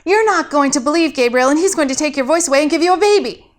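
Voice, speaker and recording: A woman's voice: high-pitched, fast, voice pushed up, close to an everyday voice. A woman voicing a nervous, eager twelve-year-old angel in training for a story, hesitant and stammering. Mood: flustered, rushed.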